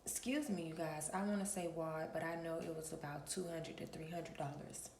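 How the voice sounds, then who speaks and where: A woman hosting her own channel, talking in a relaxed early-morning vlog with a raspy voice and a preachy manner, often clearing her throat. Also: low voice, normal speed, roomy sound, slight background noise. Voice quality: gravelly. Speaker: spiritual reader and channel host.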